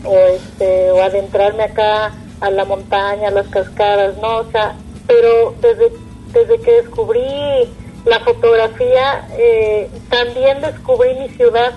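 A woman's voice: a little high, level tone, a little slow, dull audio, soft background music. A woman from Mexico with a Mexican accent, speaking casually and conversationally as an interview guest. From Puerto Vallarta, Mexico.

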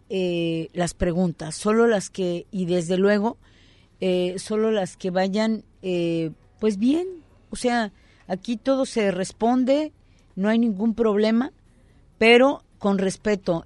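A woman with a Mexican accent hosting a conversational interview and reading audience questions, halting and often breaking off her own sentences. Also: mid-range voice, darkish tone, dry recording. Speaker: interview host.

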